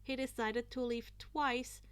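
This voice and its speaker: A woman narrating fan fiction aloud in an expressive, playful amateur style, now and then stumbling over a word. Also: a little high, slow pace, clean tone. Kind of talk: expressive amateur story reading.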